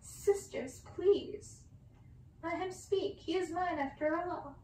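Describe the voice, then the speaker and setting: A woman's voice: very high voice, takes it slowly, roomy sound, distant mic, background noise, voice pushed up. A woman voicing a beautiful young enchantress, vengeful, solemn and commanding, in a storybook reading. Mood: pleading.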